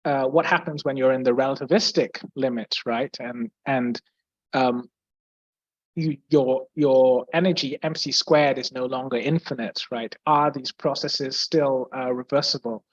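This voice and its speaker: A young man from Brazil who speaks with an English accent, explaining physics in a question-and-answer session. He hesitates often, restarting phrases, filling gaps and clearing his throat. The call sounds slightly dull and dry, close and without room sound. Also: mid-range voice, steady tone, a little slow, no echo. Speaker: guest physicist.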